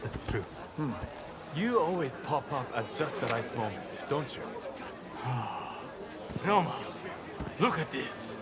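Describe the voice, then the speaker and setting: A man's voice: medium pitch, big pitch swings, takes it slowly, pauses often. A man playing an alert, familiar samurai rebel among gathered fighters in a video game cutscene. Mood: wry, impressed. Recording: loud crowd and outdoor noise behind.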